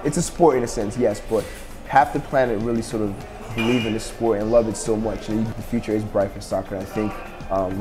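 Young guy from nigeria rambling quick in a bar interview, lowish voice, music behind. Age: 20s. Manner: quick, rambling, many fillers. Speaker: interviewee, entrepreneur. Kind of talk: casual interview. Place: indoors at a bar.

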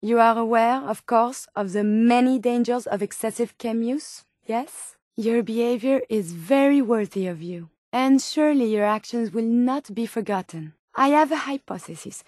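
A woman voices a female robot scientist from a video game with a French accent. Her voice sits fairly high and sounds close and dry. She speaks formally and precisely, earnest and politely curious. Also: moderate pace, few pauses, a little mellow, dry recording, natural voice. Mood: inquisitive, helpful. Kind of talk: video game companion voice lines.

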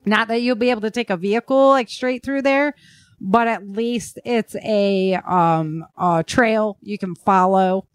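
Woman podcast host just chatting, animated, mid pitch, really dry sound. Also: a little slow, clean tone, dry recording. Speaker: podcast host and fiction writer. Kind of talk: casual survivalist chat.

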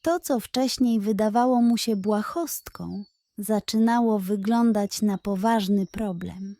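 A woman's voice: medium pitch, measured pace, mellow, no echo. A woman narrating a scripted bedtime story for children.

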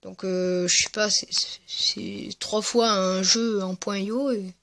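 A gaming video creator giving casual, hesitant and rambling commentary over gameplay, speaking in a medium-high voice. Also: fairly even intonation, takes it slowly, creaky, pushed and bright. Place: at home, voice-over on mobile gameplay recording.

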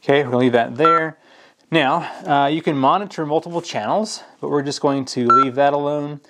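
A man's voice: fairly high pitch, normal speed, pushed and bright. A man explaining plainly, step by step, in a casual hands-on product walkthrough. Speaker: product reviewer. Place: indoors at a work table.

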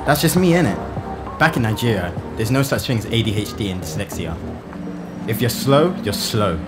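A man playing a cheeky, excitable teenage schoolboy in a motion comic, with a London accent and slangy, animated delivery. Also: on the low side, normal speed, loud music behind the voice, natural voice. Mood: chatty, self-deprecating. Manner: animated, colloquial. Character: excitable, cheeky Nigerian-born London schoolboy in his teens.

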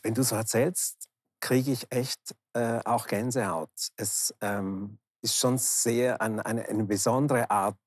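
A man in his fifties hosting a talk, asking questions conversationally with searching, halting phrasing. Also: mid-range voice, fairly even intonation, husky, no room sound, clean studio sound. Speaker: talk show host, interviewer. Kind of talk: conversational interviewing. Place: large indoor bar hall, seated interview. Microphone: lapel microphone.

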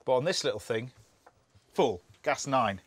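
A man, a TV chef chatting through a cooking demonstration outdoors, instructive and quick to laugh. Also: mid-range voice, lively intonation, noisy background. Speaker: TV chef host. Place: outdoors at a campsite.

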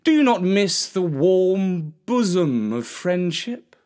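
Guy doing a cunning flattering fox, takes his time, really swoopy theatrical delivery, music behind. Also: sits high, slow pace, voice pushed up, full character voice. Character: sly, smooth-talking male fox, cunning flatterer.

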